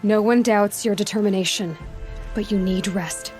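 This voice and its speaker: A woman's voice: mid-range voice, a little mellow, lightly acted. Woman doing a gutsy young huntress in a game, straight talker, dry wit, music humming behind. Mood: determined. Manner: direct, dry, quick-witted. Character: bold, determined young huntress outcast. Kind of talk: video game cutscene acting.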